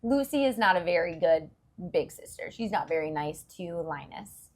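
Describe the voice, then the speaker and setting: A woman's voice: fairly low pitch, animated, moderate pace. A woman reading a story aloud to young children, expressively, with simple explanations along the way. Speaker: children's story reader and host.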